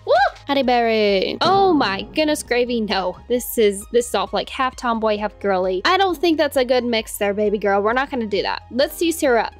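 A woman's voice: a little high, lively intonation, moderate pace, faint music behind. A young woman host giving casual gameplay commentary, bubbly and chatty, sounding nasal from a cold. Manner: bubbly, chatty, talks to her game characters. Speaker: gaming channel host.

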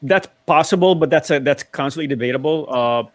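A man's voice: on the high side, a little fast, no echo. Middle-aged man explaining, dry sound. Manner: explanatory, restarts often. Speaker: motoring panelist. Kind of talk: casual panel discussion. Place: home study with bookshelves. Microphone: video call.

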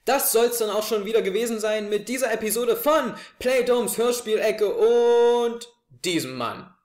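A man's voice: very high-pitched, measured pace, a little mellow, faint music behind. A young man presenting a prepared review to camera in a living room. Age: young adult. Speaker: host of an audio drama review show. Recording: echoey small room.

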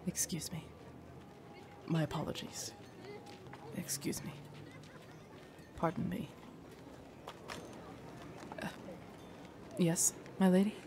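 Low-voiced, loyal female knight played by a woman. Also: steady tone, background noise. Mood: polite, hushed. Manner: formal, deferential, soothing, measured. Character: loyal, gentle female royal knight guarding her queen. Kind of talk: immersive audio roleplay acting.

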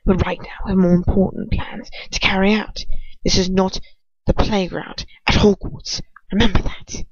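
A haughty male dark wizard from a storybook, put on by an amateur reader, commanding and curt. The voice has some fry and sits dry in a slightly dull recording. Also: medium pitch, level tone, moderate pace, no room sound, in a whisper. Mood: irritated, curt. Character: cold, imperious adult male aristocratic dark wizard.

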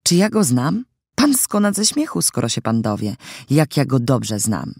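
A man voicing a chatty, impish sixteen-year-old girl in an audiobook, with lively, theatrical emphasis. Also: mid-range voice, a little slow, breathy edge, no echo, studio quality, heavily acted. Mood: teasing. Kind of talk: audiobook character dialogue.

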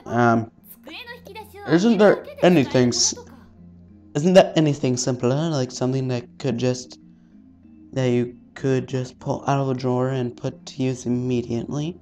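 A man playing a visual novel, reading its text aloud haltingly with casual, sweary commentary. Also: medium pitch, steady tone, darkish tone. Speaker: let's-player. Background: faint game music.

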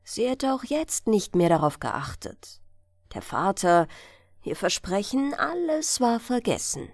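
A woman narrates a fairy tale for bedtime listening. Her voice is fairly high and sweeps widely in pitch, while she keeps a very slow, measured pace. The delivery is expressive and scripted. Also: dry recording, studio quality. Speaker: audiobook narrator.

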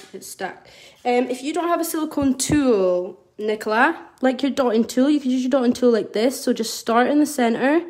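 A woman from Scotland with a mild Scottish accent, a nail artist giving a live tutorial and chatting to viewers as she works, recorded on a phone over her desk. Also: sits high. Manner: chatty, reads out viewer comments while working. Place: indoor nail studio desk. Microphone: phone camera over the work table.

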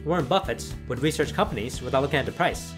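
Brief: man, channel narrator; brisk explanatory voice-over, faint music bed. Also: medium pitch, lively intonation. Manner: plain explanatory delivery. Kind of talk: scripted voice-over commentary. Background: background music.

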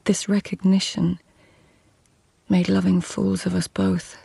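A woman playing a poised, determined lady-in-waiting of old Japan in a radio drama, formal and measured. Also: lowish voice, flat delivery, vocal fry, warm tone, husky, exaggerated voice. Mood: reflective, tender. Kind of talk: radio drama acting and narration.

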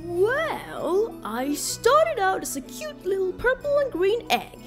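Woman doing a squeaky, cheeky baby dragon boy. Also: sing-song, slow, music in the background, heavily acted. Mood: eager. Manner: pert, casual, childlike. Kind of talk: cartoon fandub acting.

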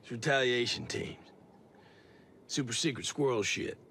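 A tough american military man for a game, gravelly and sharp, sure of himself, pauses as he goes. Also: mid-range voice, slight background noise. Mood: gruff, laid-back. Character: tough, confident American special forces soldier. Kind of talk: video game cutscene acting.

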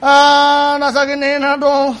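Man playing a creaky, grumbling, hapless husband. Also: very high-pitched, animated, quick pace, fairly bright tone, heavily acted. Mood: weary, dejected. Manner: rough colloquial speech, complaining, flustered. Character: hapless, grumbling henpecked working-class husband on an errand. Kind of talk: rakugo comic storytelling dialogue.

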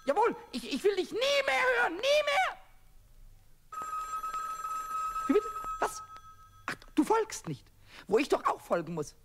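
An actor playing a small, cheeky, childlike kobold in a children's radio play, squeaky, stammering and repeating words excitedly. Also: male voice, normal speed, loud music behind the voice, heavily acted. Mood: defiant, scolding. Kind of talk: 1970s German children's radio play acting.

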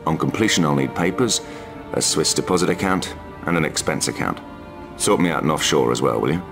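An actor playing a jaded, middle-aged secret agent in a video game, dry, blunt and weary. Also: male voice, rumbling low, animated, fairly quick, gravelly, over music, exaggerated voice. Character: jaded, hard-bargaining veteran male secret agent. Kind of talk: video game cutscene acting.

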